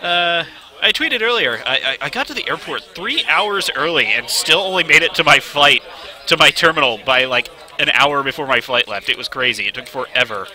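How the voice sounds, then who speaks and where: Male game streamer, sniffly with a cold, chatty and excitable, bright edgy voice. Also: on the high side, a little fast. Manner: excitable, talks to himself while playing. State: has a slight cold, sniffling. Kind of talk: live let's play commentary. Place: game studio event.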